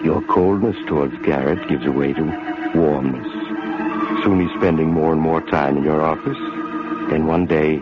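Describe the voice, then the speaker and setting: Super deep creepy old radio narrator man, ominous and knowing, dull sound, music playing behind. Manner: insinuating, smooth, ominous second-person address. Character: sinister, knowing male storyteller host of macabre tales. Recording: muffled vintage radio with musical underscore.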